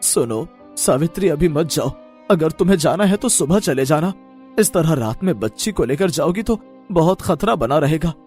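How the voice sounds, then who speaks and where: A man's voice: fairly high pitch, animated, normal speed, darkish tone, faint music behind, natural voice. A man voicing a humble, honest, downcast poor street sweet seller in a moral-story cartoon, pleading and earnest.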